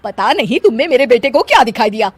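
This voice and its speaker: A bossy cartoon mother-in-law ghost, voiced by a woman, scolds and taunts in a loud, high voice. She is hot-tempered and quick to find fault. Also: big pitch swings, slight background noise. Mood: scolding, disdainful.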